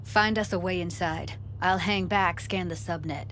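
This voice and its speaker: A woman's voice: on the low side, steady tone, normal speed, fairly bright tone, slight background noise, lightly acted. An actress playing a guarded, blunt young woman who is a tech expert in a video game. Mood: focused, tense. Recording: music behind the voice.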